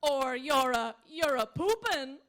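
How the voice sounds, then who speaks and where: A woman's voice: high voice, expressive, slow, fairly bright tone, full character voice. A woman playing a chirpy, pushy saleswoman in a parody radio commercial. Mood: peppy, salesy. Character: peppy, pushy infomercial saleswoman.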